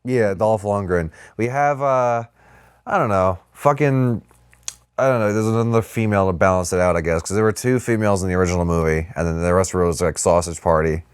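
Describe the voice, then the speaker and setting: A man's voice: fairly low pitch, brisk, clean tone, no room sound, studio quality. A man co-hosting a podcast, talking casually about movies in a loose, rambling way. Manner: rambling, heavy use of "like". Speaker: podcast co-host.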